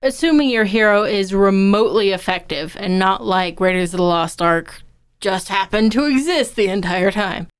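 A woman's voice: mid-range voice, moderate pace, smooth. A woman co-hosting a podcast on writing, explaining her opinions conversationally as an author and instructor. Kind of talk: conversational craft discussion.

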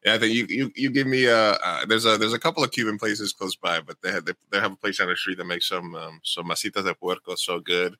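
A man's voice: on the low side, fairly even intonation, fast talker, few pauses, pushed and bright, low-quality recording. A man hosting a casual podcast chat, rambling and repeating phrases with enthusiasm. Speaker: podcast host.

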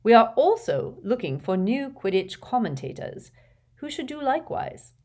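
A woman voicing a genial, commanding elderly wizard headmaster in a storybook, giving a stately, measured address. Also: on the high side, sing-song, a little mellow, pitched above the performer's own voice. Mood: stately, announcing.

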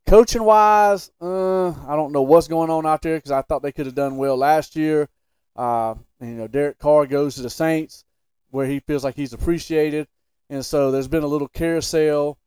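A man's voice: fairly high pitch, fairly even intonation, moderate pace, a little mellow, smooth, no echo. A man co-hosting a sports podcast, debating casually in a blunt, opinionated and emphatic way.

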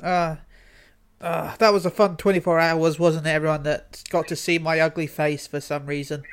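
A man's voice: a little high, little pitch movement, normal speed, clear, resonant tone. Male podcast co-host, easygoing, self-deprecating. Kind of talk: casual chat.

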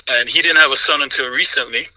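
A man from Jamaica and Trinidad who grew up in the United States, talking casually as an interview guest in a relaxed, conversational way. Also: medium pitch, fast, dry recording, poor audio. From Jamaica and Trinidad, raised in the United States. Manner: relaxed, conversational, with frequent "you know". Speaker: guest, music industry professional.

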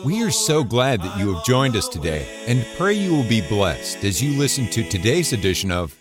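A man reading a scripted introduction to a church radio show in a smooth, formal announcing manner. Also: fairly low pitch, very animated, normal speed. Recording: announcement over background music.

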